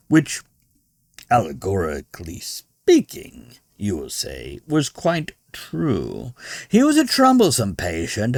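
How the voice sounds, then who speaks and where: A man's voice: medium pitch, a little mellow, dry recording, studio quality, pitched above the performer's own voice. A man voicing a tall, theatrical Frenchman from a storybook, ceremonious and emphatic in his flourishes. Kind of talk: storybook character voice.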